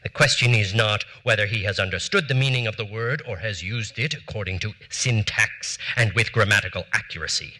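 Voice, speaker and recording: An actor in his forties reading letters as a proud, aggrieved statesman, in formal, measured, stiffly offended speech on a theater stage. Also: mid-range voice, sharp tone, rough recording. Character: proud aggrieved male statesman demanding satisfaction.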